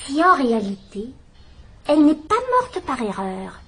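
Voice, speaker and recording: An actress playing a shrewd, gentle elderly spinster detective in a dubbed drama, speaking in a wry, quietly probing way. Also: female voice, fairly high pitch, big pitch swings, measured pace, faint music behind, heavily acted. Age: older. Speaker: amateur detective. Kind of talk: dubbed radio-style drama acting.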